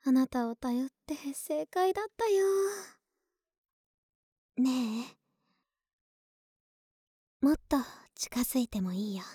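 Young neighbor woman, played by an actress, ASMR audio drama: cutesy, intimate, coaxing, unhurried delivery in a dry recording. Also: sits high, very animated, mellow, slightly rough, dry recording, full character voice. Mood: cozy, intimate. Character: friendly, clingy young neighbor woman, older-sister type. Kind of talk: Japanese ASMR situation voice drama acting.

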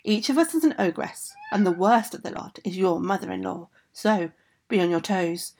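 A gruff ogress aunt played by a woman reading aloud scolds bluntly but grudgingly offers help. Her voice sits at an ordinary middle pitch. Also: animated, moderate pace, darkish tone. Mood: scolding.

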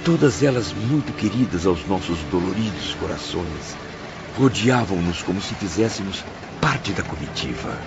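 An actor with a Brazilian accent playing a grave spirit who narrates a radio drama in a solemn, sorrowful, literary way. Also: male voice, low-pitched, lively intonation, moderate pace, vocal fry. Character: grave male spirit recalling his companions' suffering. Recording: muffled radio production, music underneath.

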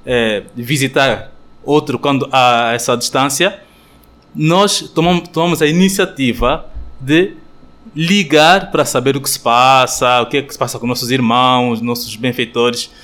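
A man with an Angolan Portuguese accent, a friar giving a radio interview answer, thoughtful and hesitant with many pauses and fillers. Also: medium pitch, fairly bright tone. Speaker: friar, guest.

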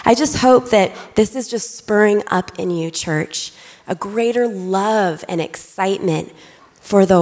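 A young adult woman preaching a lively, excitable sermon to her congregation from a church stage. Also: mid-range voice. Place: large church auditorium. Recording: reverberant church hall.

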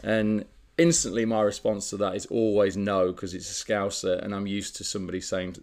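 A man co-hosting a casual podcast, telling a story in a relaxed, chatty way with frequent fillers. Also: on the low side, a little fast. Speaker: podcast co-host. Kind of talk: casual chat.

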